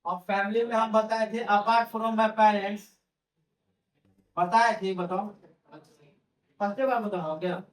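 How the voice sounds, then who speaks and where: A man teaches a classroom lesson, asking the students the same thing again and again. His voice sits high and carries through an echoey room. He sounds a bit far from the mic. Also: fairly even intonation, slow, long pauses. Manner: questions the class over and over, repeats key phrases. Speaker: English teacher. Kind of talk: classroom lecture.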